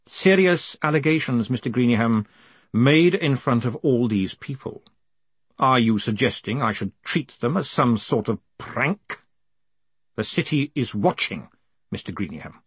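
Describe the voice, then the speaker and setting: A man voicing a calm, quietly commanding ruler with measured, formal, icily polite speech in an audiobook. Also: mid-range voice, breathy edge, dry recording, pitched above the performer's own voice. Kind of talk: audiobook character acting.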